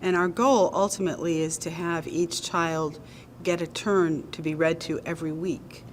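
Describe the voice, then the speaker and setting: Low-voiced woman explaining earnestly. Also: fairly even intonation, a little hiss or hum. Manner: measured and earnest, with occasional um and uh. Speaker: nonprofit executive director, interview guest. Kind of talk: explanatory interview answers.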